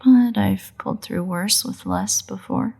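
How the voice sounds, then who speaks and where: A woman playing a playful, sardonic, bloodthirsty but secretly caring vampire in a roleplay audio drama, with wry, husky, often breathy delivery. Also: mid-range voice, a little mellow, exaggerated voice. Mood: brisk, matter-of-fact. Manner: wry, teasing, unhurried delivery with pauses.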